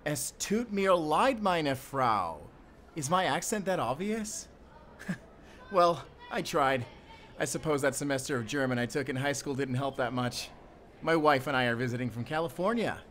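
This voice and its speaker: A man puts on a chatty American tourist in an audio roleplay. The voice is lifted high above his usual one, naive and over-eager. He rambles goofily in an American accent, with background noise behind him. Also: animated, moderate pace, fairly bright tone. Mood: gushing, goofy.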